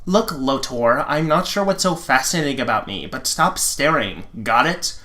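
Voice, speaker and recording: A man's voice: mid-range voice, animated, moderate pace, pushed and bright. A man voicing an irritated, blunt heroine in a dramatic fan fiction reading, with curt delivery. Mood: curt, annoyed. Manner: curt, annoyed.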